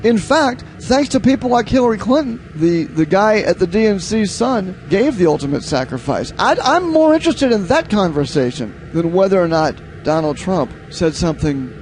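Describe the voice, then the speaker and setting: A high-voiced American man hosts a political commentary show over some background noise. He sounds incredulous and emphatic, repeating phrases for effect as he reads out headlines and gives his opinion. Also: sing-song, normal speed, hardly any pauses, a little mellow.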